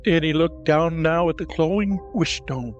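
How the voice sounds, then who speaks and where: A man's voice: on the high side, moderate pace, lo-fi sound. A man giving dramatic, scripted narration for a fantasy audio drama. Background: music.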